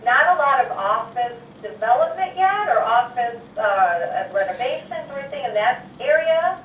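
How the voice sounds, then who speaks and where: A woman interviewing a realtor by phone, chatty, with quick questions and short affirmations. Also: a little high, expressive, a bit bright, roomy sound, recorded from a distance, slight background noise, poor audio.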